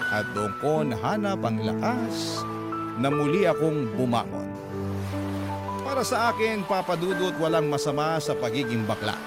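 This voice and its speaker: A man with a Filipino accent narrating letters as a radio host, dramatic and emotive, with measured pauses. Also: on the high side. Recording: loud music bed behind.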